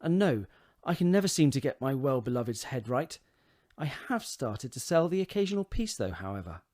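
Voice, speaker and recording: A man's voice: mid-range voice, very animated, fairly quick, darkish tone, natural voice. A man playing an affectionate, modest young sculptor of about twenty in a period audio drama. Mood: fond, self-deprecating.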